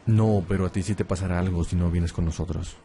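A man playing a gruff, curt vampire protector in an audio roleplay. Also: low voice, steady tone, fast talker, mellow. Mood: curt, menacing. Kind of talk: ASMR audio roleplay acting.

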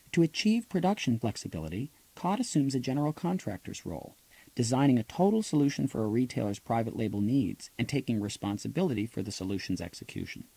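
Male audiobook narrator for business nonfiction: husky, relaxed tone, measured expository read. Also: mid-range voice, no room sound. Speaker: author reading own audiobook.

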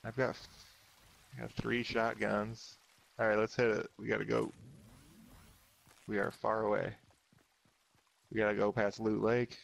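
A man's voice: lowish voice, monotone, unhurried, creaky, long pauses, poor audio. A man chatting casually with his squadmates during an online game, swearing freely in bro slang and calling the plays. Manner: profane, bro-slang, takes the lead. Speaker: podcast member playing a squad shooter. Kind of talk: casual gaming chat with squadmates.

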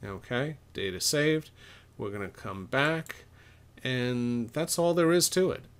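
Middle-aged man giving an informal software tutorial. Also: medium pitch, slow pace, smooth. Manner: informal, explanatory, thinks aloud while typing. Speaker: laundry equipment expert, tutorial presenter. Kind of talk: step-by-step software tutorial narration.

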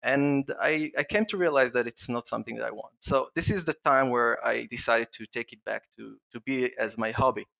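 A man's voice: mid-range voice, a little fast, creaky, hardly any pauses, sharp tone, highs cut off. A man from Israel with an Israeli accent, a guest on a podcast interview, talking eagerly with many restarts and fillers. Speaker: guest plugin developer.

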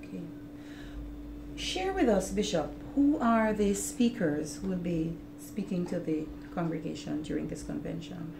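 A woman's voice: fairly low pitch, animated, measured pace, vocal fry. A woman conducting an interview, asking formal and polite questions. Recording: roomy office echo, faint noise.

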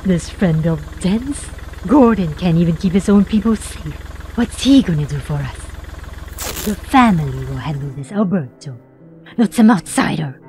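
A woman's voice: lowish voice, expressive, normal speed, dark tone, slight background noise, close to an everyday voice. A woman playing a hard, hot-tempered crime-family heiress in a comic book fan dub, commanding and scornful. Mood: scornful, angry.

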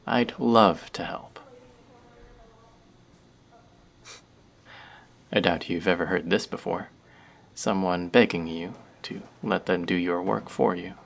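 A man's voice: low voice, a little slow, creaky, full character voice. A man playing a chatty young barista who teases and rambles in a friendly way, in a scripted audio roleplay. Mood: playful. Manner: playful, rambling, teasing.

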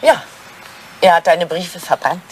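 A woman's voice: on the low side, expressive, measured pace, slight background noise, poor audio. An older woman acting a poised, evasive widowed English lady in a German radio drama, cool and arch in manner. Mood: frank.

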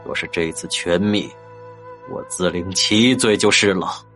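Man playing a low-voiced, resolute sect leader. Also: sharp tone, faint music behind, exaggerated voice. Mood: grave resolve. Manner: grave, measured. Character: composed, resolute male leader of cultivators. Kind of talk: audio drama acting.

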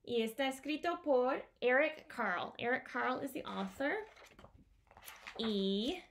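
High-voiced, slow-paced woman leading children's storytime. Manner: animated, simple repeated phrases, switches between Spanish and English. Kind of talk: singing and reading aloud to young children. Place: small home room with bookshelves.

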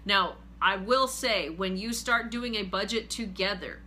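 A woman in her forties hosting a casual money-advice talk from her living room couch, earnest and steady. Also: mid-range voice, measured pace, slight background noise. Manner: earnest, steady, reads viewer comments aloud. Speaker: host, finance group leader.

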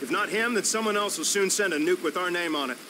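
An actor playing a gruff, blunt, commanding leader of survivors in a video game cutscene. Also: male voice, sits high, fast talker, bright, forceful tone, roomy sound, a little hiss or hum. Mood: grim. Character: gruff, foul-mouthed man leading survivors, grimly practical.

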